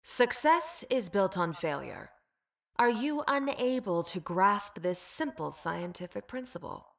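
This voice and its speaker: A cold, cat-like female bioweapon from a video game. She speaks slowly at a medium pitch, with measured, exact diction. Her manner is formal, clinical and superior, detached from those around her. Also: very animated, gravelly, fairly bright tone, heavily acted. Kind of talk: video game character voice lines.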